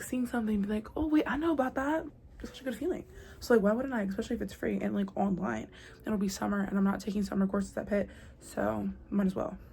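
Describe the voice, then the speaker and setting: A woman's voice: mid-range voice, creaky, dark tone. A young American woman talking casually to camera, full of "like" and "girl", sometimes dropping to a whisper, recorded on a built-in mic with faint outdoor noise. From United States. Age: young adult. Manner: chatty, lots of "like" and "girl", drops to a whisper at times. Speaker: vlogger. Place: noisy spot with outside sound. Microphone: built-in device mic, no proper microphone.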